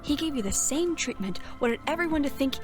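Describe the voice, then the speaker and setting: A woman's voice: sits high, animated, a little fast. A woman playing a brash, resentful eighteen-year-old knight in a fantasy audio drama, talking bluntly and sarcastically. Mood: bitter, resentful. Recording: music behind the voice.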